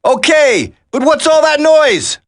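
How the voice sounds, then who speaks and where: A man's voice: very high voice, expressive, slow, dry recording, studio quality, heavily acted. A man voicing an earnest special forces soldier in a video game, dramatic and urgent. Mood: businesslike. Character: earnest male special forces soldier, determined, worried for comrades.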